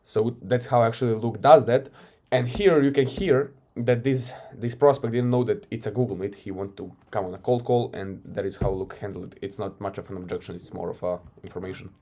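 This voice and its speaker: A man's voice: fairly low pitch, steady tone, fairly quick, mellow. A man with a Croatian accent, coaching by talking casually through a recorded sales call and often tagging "right?". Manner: loose and conversational, often tags "right?".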